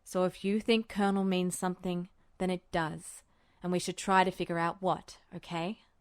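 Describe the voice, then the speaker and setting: A woman voicing a calm older man who speaks quietly and steadily, reassuring, in an audiobook-style story reading. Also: fairly low pitch, normal speed, clear, resonant tone, no echo, studio quality, pitched below the performer's own voice, natural voice. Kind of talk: audiobook fan fiction reading.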